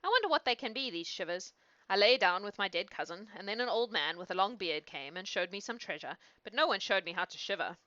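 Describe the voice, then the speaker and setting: A bold young storybook boy, acted out by a woman reading aloud, bright and forceful. He is plain-spoken, cocky and afraid of nothing. The recording is dry and slightly muffled. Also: mid-range voice, lively intonation, fairly quick, dry recording. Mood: matter-of-fact.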